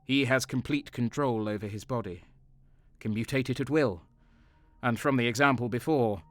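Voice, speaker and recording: A man's voice: medium pitch, normal speed, fairly bright tone, no echo, lightly acted. An actor playing a cynical, cold and sadistic soldier who narrates his crimes in a brooding, sardonic way in an audio drama. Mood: brooding, bitter.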